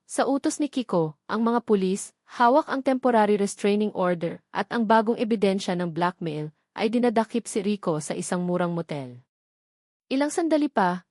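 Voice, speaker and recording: A Filipino woman narrates a dramatic story in a scripted storytelling style. Her voice sits at a medium pitch, and the recording is dry with no room sound. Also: darkish tone, no room sound.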